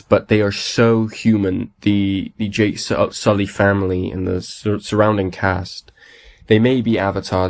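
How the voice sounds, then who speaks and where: Male podcast host, low gravelly voice, raspy with a cold, eager and rambling. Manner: enthusiastic, rambling with many restarts. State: has a cold. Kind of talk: casual solo podcast talk.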